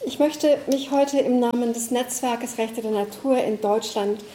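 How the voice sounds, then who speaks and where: A woman's voice: fairly high pitch, a little slow, dark tone, reverberant, slight background noise, poor audio. A woman giving a greeting address for a network, speaking hesitantly with many fillers. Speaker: network representative.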